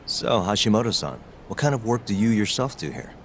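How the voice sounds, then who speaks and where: Low-pitched, laid-back detective. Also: male voice, expressive, fairly quick, slight background noise. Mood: casual. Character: laid-back male private detective and ex-lawyer, wry. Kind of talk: English game dub acting. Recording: music underneath.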